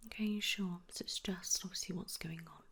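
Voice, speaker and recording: A woman's voice: lowish voice, brisk, a bit bright, slight background noise. A woman whispering soothingly and reassuringly as a nurse in a one-sided ASMR roleplay.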